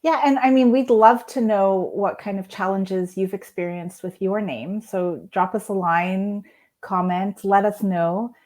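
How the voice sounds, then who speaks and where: A woman's voice: medium pitch, a little slow, a little mellow. Easygoing woman co-host. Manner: easygoing, affirming, brief agreeing remarks. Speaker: podcast co-host. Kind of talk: casual conversation about writing.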